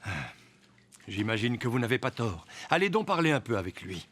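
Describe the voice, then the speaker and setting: A man's voice: medium pitch, big pitch swings, a little fast, lightly acted. A man voicing a condescending, scheming villain boss in a cartoon, sardonic and commanding. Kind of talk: animated cartoon dub.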